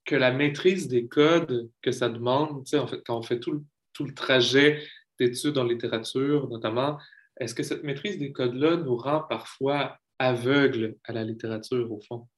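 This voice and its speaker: A Québécois-accented speaker interviewing a guest, asking curious, reflective questions in a relaxed way. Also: mid-range voice, moderate pace. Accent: Québécois French. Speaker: podcast interviewer. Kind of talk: casual conversational interview.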